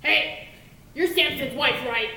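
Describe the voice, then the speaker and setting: A woman voicing a pushy, forceful character in a puppet show. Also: sits high, takes it slowly, full character voice. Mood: threatening. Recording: very echoey, noisy, off mic.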